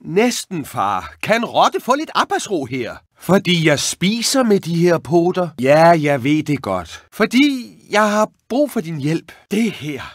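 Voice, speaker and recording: A man voicing an eager young male rat who dreams of cooking, in an expressive, excitable and wry video game performance. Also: a little high, hardly any pauses, no room sound, studio quality. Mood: eager, determined. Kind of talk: animated video game voice acting.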